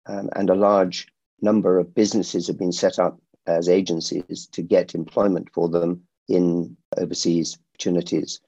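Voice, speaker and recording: A middle-aged man who grew up in India, a security company founder answering interview questions in a measured way with frequent restarts. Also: deep voice, flat delivery, mellow, breathy edge, no room sound, lo-fi sound.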